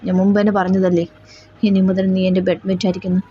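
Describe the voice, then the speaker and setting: A woman's voice: monotone, slight background noise, lightly acted. A woman voicing a cold, menacing young mafia boss. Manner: slow, low, possessive and threatening. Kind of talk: Malayalam fan audio drama. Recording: slightly dull lo-fi recording with faint music.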